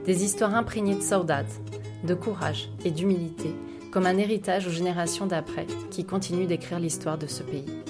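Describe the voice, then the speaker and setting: A woman's voice: on the low side, normal speed. A woman from France reading a scripted podcast introduction as the host. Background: plucked guitar music.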